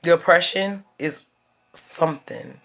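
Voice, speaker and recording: A woman in her thirties with a lowish voice delivers a casual vlog monologue. She lectures her viewers emphatically and hammers her points home through repetition. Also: slow, fairly bright tone. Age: 30s. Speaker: YouTuber giving life advice.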